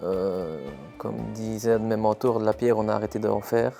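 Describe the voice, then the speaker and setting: Man in his 20s, gravelly lowish voice, testimonial, faint music. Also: fairly even intonation, normal speed, warm tone. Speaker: civil servant giving a testimonial. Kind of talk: testimonial interview.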